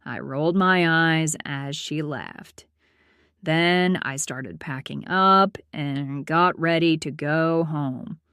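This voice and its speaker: A woman playing a prim, aloof accountant in her late twenties who narrates her own story in a deadpan, formal way. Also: low voice, takes it slowly, clear, resonant tone, no room sound, studio quality, full character voice. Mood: dry, unimpressed. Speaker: host and narrator of a character story series. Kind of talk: scripted first-person storytelling.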